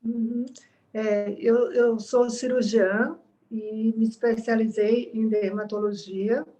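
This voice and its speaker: Earnest dermatologist woman, fairly high voice, reflective pauses on a call. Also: steady tone, dark tone, rough recording. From Salvador, Bahia, Brazil. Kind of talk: speaking on a video call. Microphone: video call.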